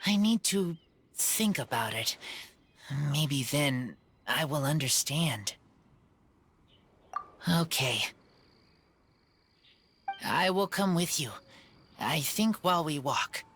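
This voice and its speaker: An actor playing an earnest wolf-raised boy in a video game, speaking in simple, halting fragments. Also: on the high side, animated, fairly bright tone, slight background noise. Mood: thoughtful, earnest. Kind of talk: video game cutscene acting.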